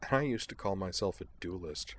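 A man's voice: deep voice, lively intonation, a little fast. A man voicing a wry, self-mocking teenage girl who is ill, in a storybook reading.

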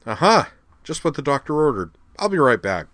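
A man's voice: mid-range voice, sing-song, brisk, pushed and bright, dry recording, pitched above the performer's own voice. A man voicing a smug, brash, teasing young woman with sarcastic, slangy talk in a story. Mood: breezy, jaunty.

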